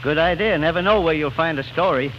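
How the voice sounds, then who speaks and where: Male radio guest from Lancashire, high voice, scripted 1940s banter, dull vintage broadcast sound. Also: brisk, slight background noise. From Lancashire, England. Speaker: newspaper critic, radio guest.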